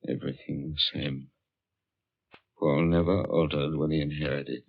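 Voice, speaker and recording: Old formal skeptical gent, real low voice, careful talker, muffled 40s radio drama sound. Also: male voice, little pitch movement, a little slow, vocal fry, heavily acted. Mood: measured, reflective. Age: older. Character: elderly man, skeptical, precise, shaken by the past. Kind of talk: 1940s radio acting. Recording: dull, muffled 1940s radio recording.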